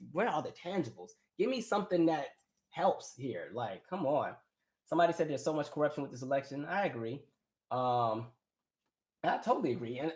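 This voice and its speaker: A man's voice: a little high, a little mellow. An American man hosting a casual live chat, opinionated and colloquial, thinking aloud as he goes. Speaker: live stream host. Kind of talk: casual live chat, reading and answering viewer comments. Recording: echoey room on a live stream.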